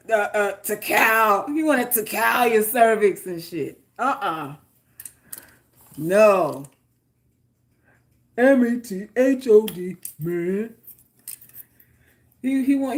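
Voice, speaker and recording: A woman's voice: on the high side, lively intonation, slow, long pauses. A woman from Queens with a New York accent, chatting casually and bluntly with viewers, joking and swearing freely. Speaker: livestream host reading chat. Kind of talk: casual unscripted chat.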